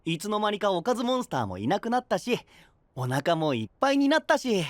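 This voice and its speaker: A breezy, playful young knight. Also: male voice, sits high, very animated, quick pace, dry recording. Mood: cheerful. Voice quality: bright, breezy and joking. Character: cheerful young male knight, playful and easily embarrassed. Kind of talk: anime voice drama acting. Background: music.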